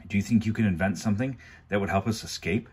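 A man's voice: lowish voice, quick pace, darkish tone, husky. A man reading aloud and voicing a clever, bookish teenage boy who talks in an earnest, explaining way.

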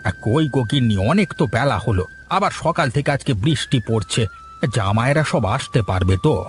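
A man's voice: medium pitch, big pitch swings, fairly quick, slight background noise, natural voice. Chatty older village husband played by a man. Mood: genial, chatty. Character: genial older village husband and father-in-law. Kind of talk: cartoon story dialogue.

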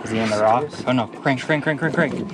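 A man's voice: mid-range voice, fast. Eager man reeling on a noisy boat. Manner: eager, urges himself on while reeling. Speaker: angler, fishing partner. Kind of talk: casual chat while fishing. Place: small boat offshore, outdoors. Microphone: action camera on the boat. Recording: loud outdoor boat noise.

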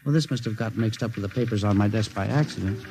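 An actor playing a meek, earnest government records clerk in 1950s radio drama style, deferential and hesitant. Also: male voice, on the low side, fast talker, darkish tone, slight background noise, lightly acted. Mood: puzzled, musing. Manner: deferential, hesitant, easily flustered.

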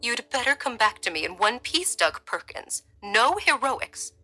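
A woman voicing a worried, caring middle-aged wife who speaks in a higher, pleading tone. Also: very animated, unhurried, bright, forceful tone, faint music behind, heavily acted.

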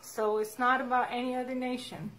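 A woman's voice: fairly high pitch, flat delivery, brisk, fairly bright tone, slight background noise, low-quality recording. A woman in her forties from Egypt, a guest telling her story in casual chat, earnest, with frequent restarts. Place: small indoor room with a wall chart.

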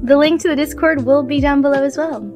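A woman with a high voice speaks casually to her audience as a channel host. Music plays clearly behind her. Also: steady tone, fast. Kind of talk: casual outro addressed to viewers.

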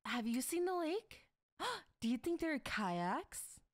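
A woman as a slow-talking, wide-eyed thirties woman. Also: sits high, sing-song, dry recording, clean studio sound, full character voice. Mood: awed, excited. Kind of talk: amateur comic dub acting.

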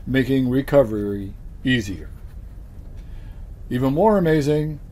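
An older man delivering a deadpan mock news report as a comedy anchor, in a dry, unhurried newsreader manner. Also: on the high side. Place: studio set with green-screen backdrop.

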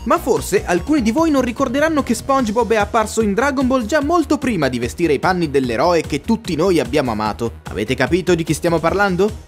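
A man in his thirties hosting a comic scripted video in an animated way. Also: high-pitched. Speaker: video host. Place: small furnished room indoors.

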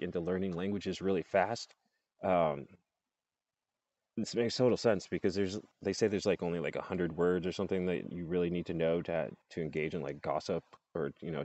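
Man, 40s, very low voice, chatty laughing vlogger, earbud mic, muffled dry sound. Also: darkish tone, no echo. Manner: laughs often, loose and chatty. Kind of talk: casual rambling monologue. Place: outdoors under trees. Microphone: earbud headset mic, phone close up.

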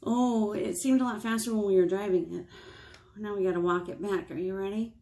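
An American woman in her thirties leading a picture-book storytime for small children, playful and expressive, asking them questions. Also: mid-range voice, a little fast. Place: small indoor room with whiteboard.

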